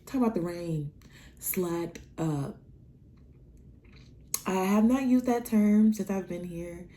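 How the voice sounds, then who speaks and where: A young woman from Nebraska chats through her stories in a casual vlog. Her voice sits a little low, with a warm, mellow tone. She acts out the conversations with sudden leaps in pitch. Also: slow pace. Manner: animated, acts out dialogue with big pitch jumps. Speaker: vlogger.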